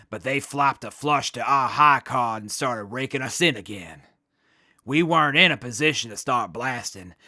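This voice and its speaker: A man acts out a cool beatnik squad leader for an audio drama. The voice is bright and creaky, recorded very dry. He spins everything in jive slang and stays unflappable. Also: mid-range voice, no room sound. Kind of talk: post-apocalyptic audio drama acting.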